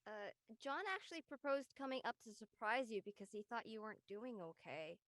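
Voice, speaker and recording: A young actress playing an earnest, literal-minded, rule-abiding student in a drama. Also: female voice, fairly high pitch, animated, brisk, a bit bright, no echo. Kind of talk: acted dialogue in an audio-led play.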